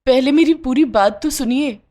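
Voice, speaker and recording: A woman's voice: sits high, moderate pace, warm tone, voice pushed up, natural voice. A woman doing a meek tearful young bride. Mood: pleading. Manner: pleading, sorrowful. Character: poor young daughter-in-law, meek and tearful.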